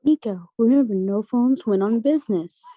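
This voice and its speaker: A woman's voice: fairly high pitch, moderate pace, mellow, no echo, close to an everyday voice. A woman playing a pointed, scolding superheroine in an amateur table read. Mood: chiding. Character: Amaya, sassy scolding female superhero with dark powers.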